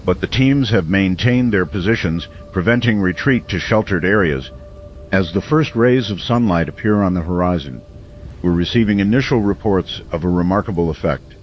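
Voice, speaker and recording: An actor playing a grave late-night radio host, reporting on a crisis in a solemn, measured and deliberate way. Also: male voice, low-pitched. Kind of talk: dramatic radio news narration. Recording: clear background noise, muffled lo-fi sound.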